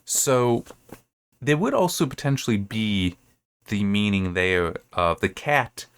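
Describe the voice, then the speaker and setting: Low-voiced man rambling through book commentary. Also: lively intonation, slow, fairly bright tone, clean tone. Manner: rambling, thinking aloud. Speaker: host reviewing assigned reading.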